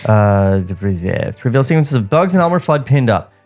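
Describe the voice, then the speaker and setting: A man's voice: medium pitch, big pitch swings, normal speed, darkish tone. Male podcast script reader, music behind. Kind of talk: script narration mixed with casual banter. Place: podcast studio.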